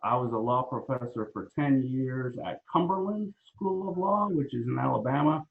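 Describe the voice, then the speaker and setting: A middle-aged law professor with a New York accent, talking casually on a video call, hesitant and full of fillers. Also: male voice, medium pitch, moderate pace, creaky. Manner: relaxed, hesitant with many fillers. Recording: phone-like video call audio.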